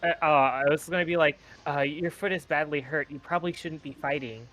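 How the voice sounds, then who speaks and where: A man's voice: on the high side, fairly quick, fairly bright tone, poor audio. A man playing a tabletop roleplaying game, chatting casually and stumbling hesitantly over his phrases as he decides what to do.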